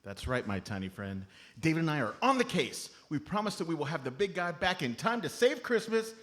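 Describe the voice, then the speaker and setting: A man's voice: a little high, sing-song, fairly quick, a bit bright. A man playing a cheerful, optimistic entertainer turned sleuth in a live comedy radio play. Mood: determined. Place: live on stage at a comedy club. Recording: noisy live comedy stage recording.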